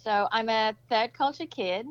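A woman's voice: fairly high pitch, measured pace, clear, resonant tone. Chatty woman guest on a lo-fi line. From Malaysia, raised in Indonesia, Australia and Singapore. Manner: upbeat, chatty, with playful asides. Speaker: guest, nonprofit founder. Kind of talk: casual conversational interview answers.

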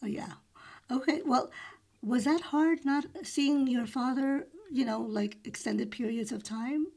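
A woman hosting an interview show, reading a prepared introduction and then chatting with a guest, with soft murmured responses. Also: a little high, a little slow. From Philippines. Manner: reads intro smoothly, then chats with soft backchannels. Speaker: podcast host.

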